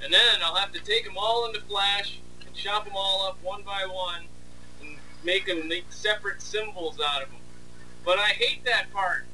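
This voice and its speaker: A man's voice: sits high, normal speed, bright, forceful tone. A man casually narrating behind the scenes as an animator, with a sarcastic, mock-complaining manner. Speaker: animator and video host. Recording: distant mic, faint background noise.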